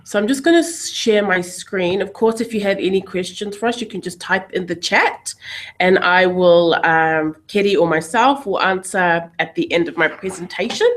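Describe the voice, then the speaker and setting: Low-voiced New woman presenting. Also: moderate pace. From New Zealand. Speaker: university recruitment coordinator. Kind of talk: webinar presentation.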